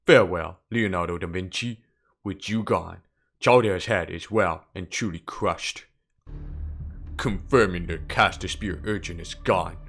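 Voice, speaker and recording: A man playing a calm, sinister priest villain in a fan dub, speaking in a measured, formal, smoothly condescending way. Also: deep voice, full character voice. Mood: coldly menacing. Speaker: amateur voice impressionist. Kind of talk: dramatic fan dub character acting. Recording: background music under the voice.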